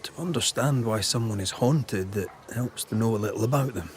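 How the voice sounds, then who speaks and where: A man's voice: lowish voice, brisk, natural voice. A man voicing a blunt, seasoned ghost hunter with a Scottish accent and a dry, probing manner in a video game. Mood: probing, calm. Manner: dry, probing, plain-spoken.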